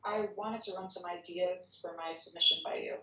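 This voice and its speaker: A woman's voice: lowish voice, steady tone, normal speed, pushed and bright. A woman playing an earnest, apologetic junior writer at a drama script reading, speaking tentatively. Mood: hesitant, earnest. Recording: echoey, distant call audio.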